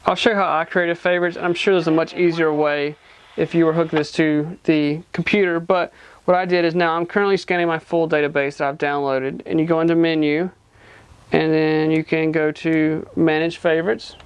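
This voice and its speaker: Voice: medium pitch, little pitch movement, fairly quick, muffled recording, a little hiss or hum. A person giving a casual, hands-on product demonstration, explaining informally step by step. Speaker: gadget reviewer.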